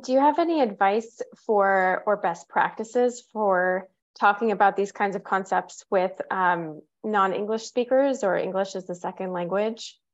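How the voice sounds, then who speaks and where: Woman moderator, measured explainer, muffled lo-fi audio. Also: mid-range voice. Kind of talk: panel discussion.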